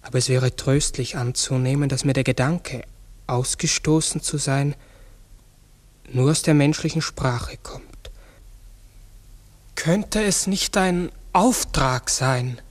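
An actor playing a gentle, melancholy man-sized talking raven in a 1960s radio drama, speaking earnestly, formally and reflectively. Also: mid-range voice, slow pace, pauses often. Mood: wistful musing.

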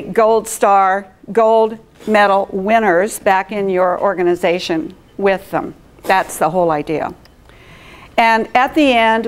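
A middle-aged woman with a big, carrying voice addresses a meeting room without a mic. She speaks slowly and smoothly and keeps drawing the audience in with questions. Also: mid-range voice. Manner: engaging, asks the audience questions and checks in. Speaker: presenter at a professional chapter meeting. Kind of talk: live presentation to an audience, asking questions.

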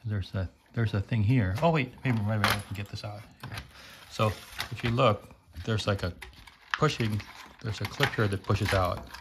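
Man thinking aloud over clear background noise. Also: fairly low pitch, normal speed. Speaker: host taking apart electronics. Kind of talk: casual hands-on commentary. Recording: noisy hobby recording, clicks and handling.

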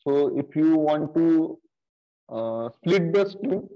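A middle-aged man teaching programming over a screen-share video call, talking slowly and haltingly with many fillers and repeated okays. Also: on the high side, lively intonation. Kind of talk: screen-share coding lesson. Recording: dull lo-fi sound.

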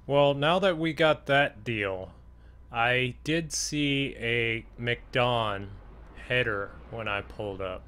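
A man's voice: medium pitch, takes it slowly, fairly bright tone. A laid-back man in casual gaming role-play as a farmer, talking hesitantly. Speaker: host, gamer role-playing as farmer buyer.

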